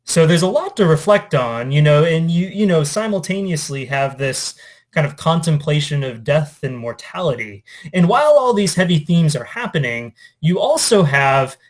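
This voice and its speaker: A man speaking as an English teacher, informally reading and discussing poetry in a reflective, conversational, somewhat halting way. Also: on the high side, lively intonation. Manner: reflective, conversational, hesitant with trailing asides.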